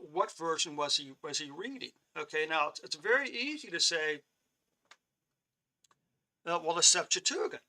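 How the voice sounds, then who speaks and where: High, very bright male Bible teacher. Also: poor audio. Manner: halting, many restarts and throat-clearing; checks in with "okay?" often. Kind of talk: live-streamed Bible lesson.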